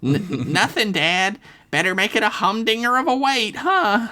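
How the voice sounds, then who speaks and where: A nerdy weakling of a son, acted out by a male storyteller, pitched well up high. He is timid and wordy, stumbling over his words in comic audio-story fashion. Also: big pitch swings, moderate pace, sharp tone, exaggerated voice. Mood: forced cheer. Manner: stammering, awkwardly eager.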